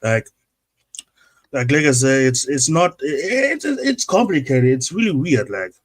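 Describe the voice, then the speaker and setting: Animated man who grew up in Germany. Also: medium pitch, a little slow. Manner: animated storyteller who acts out quotes. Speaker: podcast panelist. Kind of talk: casual chat.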